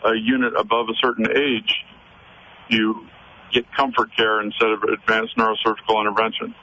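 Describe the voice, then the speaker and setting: A man calls a radio show while driving, speaking earnestly and stopping often to hunt for words. His voice sits low and flat, with a bright, forceful edge. The phone line sounds muffled, with a faint hiss of road noise underneath. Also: normal speed. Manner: earnest, hesitant, many fillers. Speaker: caller. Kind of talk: call-in radio conversation. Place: in a car, driving.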